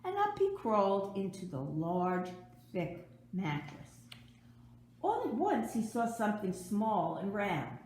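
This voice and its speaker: A woman reading a children's story aloud in an expressive, dramatic narrator's style, recorded in an echoey room. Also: mid-range voice, slow, slight background noise. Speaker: storybook reader.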